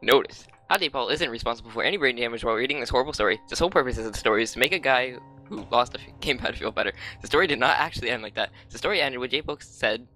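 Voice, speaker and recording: A man reading a comic story in a brisk deadpan way, calling out punctuation, over light music. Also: mid-range voice. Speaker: reader.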